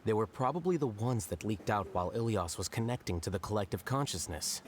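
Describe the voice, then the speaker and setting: A man playing a calm, self-assured scholar in a video game, analytical and matter-of-fact. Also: lowish voice, a little fast, a little hiss or hum, natural voice. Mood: composed.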